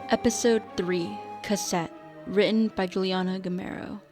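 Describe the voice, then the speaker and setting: A woman's voice: medium pitch, steady tone, normal speed. A woman reading scripted narration for a radio drama. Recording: guitar music under the voice.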